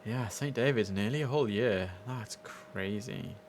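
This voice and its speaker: A man's voice: on the low side, a little slow. A British man giving casual, chatty commentary as host of a game livestream, speaking informally and directly to his viewers. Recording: clear background noise and ambience.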